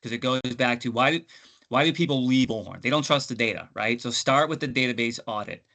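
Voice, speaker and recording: A man's voice: medium pitch, fairly quick, sharp tone. A man on a webinar panel giving conversational business advice in an informal, explanatory way. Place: online webinar call.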